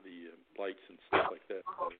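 Hesitant low-voiced man on a tinny line. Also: little pitch movement, normal speed. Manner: hesitant, heavy on filler sounds. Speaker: podcast host. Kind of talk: casual chat. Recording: phone-like line with clear background noise.

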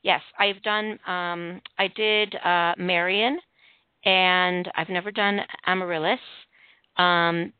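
A woman with a Midwestern accent hosting a casual chat, talking fast with many ums and restarts. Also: lowish voice, fairly even intonation, clean tone, no echo. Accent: Midwestern American. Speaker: host. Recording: tinny phone-like line.

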